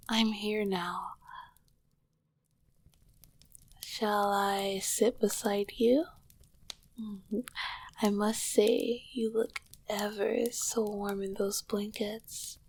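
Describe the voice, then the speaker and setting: Woman acting as ancient demon queen, female, whispered ASMR roleplay, husky breath, creaky edge, slow regal delivery, tender, flirtatious. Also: medium pitch, fairly even intonation, a little mellow, dry recording. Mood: tender, wistful. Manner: regal, old-fashioned, affectionate phrasing.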